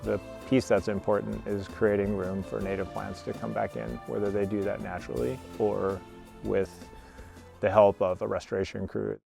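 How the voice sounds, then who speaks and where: Gravelly man in his fifties. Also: on the low side, level tone, moderate pace, over music. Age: 50s. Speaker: crew supervisor. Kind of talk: documentary interview.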